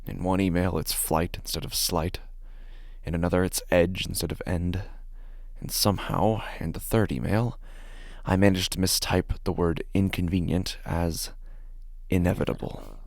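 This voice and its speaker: A man playing an anxious, meticulous office worker, narrating a horror story in the first person in a halting, deliberate way. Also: low-pitched, steady tone, vocal fry, darkish tone, dry recording, clean studio sound. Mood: measured, uneasy. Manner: halting, deliberate, stammers when agitated. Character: anxious, meticulous male office worker unsettled by his typos.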